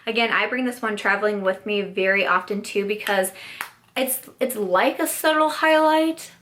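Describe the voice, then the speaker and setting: A young woman vlogs about her makeup with a voice gone hoarse and raspy. She chats along brightly and casually, tossing in plenty of "like". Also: medium pitch, normal speed. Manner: bubbly, upbeat, lots of "like". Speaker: beauty vlogger. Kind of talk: casual chatty vlog.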